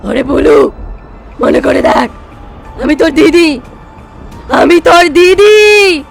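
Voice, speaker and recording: A performer voicing an undead corpse possessed by an elder sister's ghost, calling out slowly and pleading in an eerie, drawn-out way for a horror audio drama. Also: high voice, vocal fry, soft background music, heavily acted. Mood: pleading, insistent. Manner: slow, insistent, pleading.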